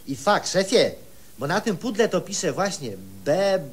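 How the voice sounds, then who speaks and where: An actor playing a zealous, simple-minded junior official in a comic radio play, eager, colloquial and prone to stammer. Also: male voice, sits high, expressive, measured pace, breathy edge, full character voice. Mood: curious, naive.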